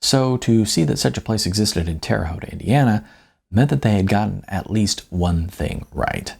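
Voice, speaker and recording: A man with a gravelly, husky voice reads his own story as an author, phrasing it deliberately with dramatic emphasis. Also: fairly low pitch, expressive, darkish tone. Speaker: author reading own novella. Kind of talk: audiobook narration, first-person storytelling.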